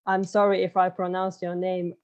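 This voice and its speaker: A woman's voice: lowish voice, monotone, moderate pace, darkish tone, clean tone. A young woman in her twenties moderating a panel on a video call, polite and a little hesitant. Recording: dull, muffled call audio.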